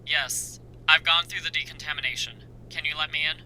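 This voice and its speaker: A man playing a steady, reassuring survivor and research partner in a horror radio drama, speaking earnestly. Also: a little high, a little fast, a little hiss or hum, rough recording. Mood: serious.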